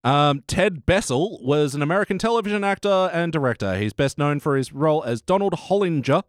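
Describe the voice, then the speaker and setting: A man in his thirties hosts a casual review podcast. His pitch moves around a lot, swinging up and down as he talks. The sound is dry, with hardly any room in it. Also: lively intonation, a little fast, dry recording. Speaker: podcast host. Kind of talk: casual episode review chat.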